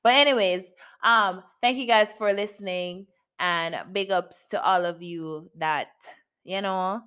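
A woman's voice: medium pitch, unhurried, fairly bright tone, clean tone. A woman in her late twenties hosting her own podcast, chatting candidly and playfully with frequent laughs. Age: late 20s. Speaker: podcast host. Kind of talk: casual solo podcast chat. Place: home in Japan.

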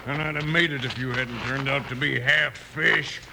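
Man playing gravelly outlaw, very noisy recording. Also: medium pitch, fairly even intonation, moderate pace, natural voice. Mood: surly. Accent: American. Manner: sneering, defiant drawl. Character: surly, scheming captured western outlaw boss. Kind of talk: old-time radio western acting. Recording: very noisy old radio recording.

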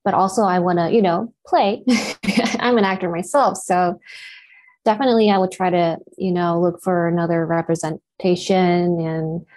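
A woman's voice: fairly low pitch, steady tone, moderate pace, darkish tone, slight background noise. Japanese-native woman in her 30s, actor, chatting in an interview, lots of you-knows, thoughtful. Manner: reflective, with many "you know" fillers. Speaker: actor and guest.

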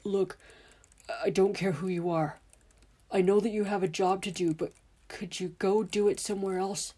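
A woman voicing an angry, protective middle-aged father who shouts defiantly. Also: medium pitch, fairly even intonation, normal speed, husky, close to an everyday voice. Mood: stern.

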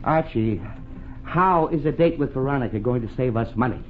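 A man's voice: medium pitch, expressive, normal speed, slight background noise, natural voice. An actor playing an exasperated, penny-pinching middle-aged American father in a 1940s radio comedy, blustering, pompous and sputtering. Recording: tinny 1940s radio broadcast recording.

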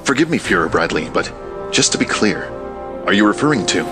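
A composed military colonel from an anime, acted by a man, with a deep, low voice. He is dry, sardonic and authoritative, and music plays behind him. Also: big pitch swings, brisk. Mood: formal. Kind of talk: anime fan dub acting.

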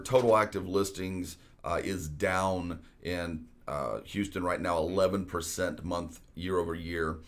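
A man, hosting a real estate talk show in a casual, conversational way with frequent fillers. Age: 40s. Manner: deep voice. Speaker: real estate podcast host.

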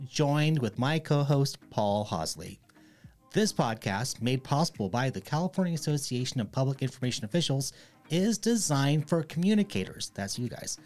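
A man co-hosting a podcast, reading the sponsor spot and joking casually with the guest. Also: mid-range voice. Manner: playful, slangy banter. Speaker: podcast co-host.

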